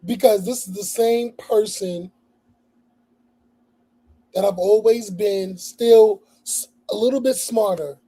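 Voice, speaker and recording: A man hosting a casual livestream, hoarse, speaking bluntly with a lot of profanity and repetition. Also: high voice, slow, pauses often, mellow, low-quality recording. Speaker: livestream host.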